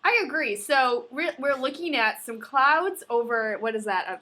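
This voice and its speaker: A woman's voice: high-pitched, normal speed, bright, forceful tone. A woman co-hosting a casual book podcast, playful and teasing. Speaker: podcast co-host. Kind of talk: casual chat.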